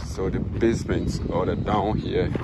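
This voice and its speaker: A Ghanaian man with a low-pitched voice presents an informal walking commentary for sports viewers. He checks in with his audience often as he goes. The handheld outdoor recording carries very heavy background noise. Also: steady tone, a little slow. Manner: informal, frequent "you understand" check-ins. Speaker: sports channel host. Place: outdoors, open stadium grounds and car park.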